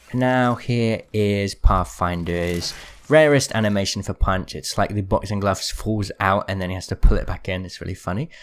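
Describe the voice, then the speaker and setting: A man giving casual, explanatory commentary as the creator of a gaming video. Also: lowish voice.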